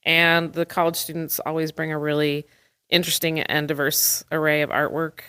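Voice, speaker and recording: A woman's voice: low voice, flat delivery, normal speed, pushed and bright, smooth. A woman speaking as an interview guest for an arts center, explaining things in casual conversation.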